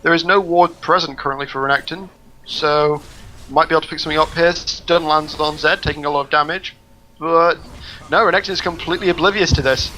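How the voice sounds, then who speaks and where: A man giving brisk play-by-play commentary on a video game match. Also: a little high, steady tone, sharp tone, slight background noise, rough recording. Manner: brisk, descriptive play calling.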